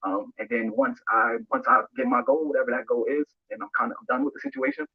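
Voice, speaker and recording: A man's voice: fairly high pitch, huge pitch swings, quick pace, creaky, breathy edge. A man giving candid, matter-of-fact interview answers about himself, somewhat hesitantly. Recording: dull lo-fi played-back audio.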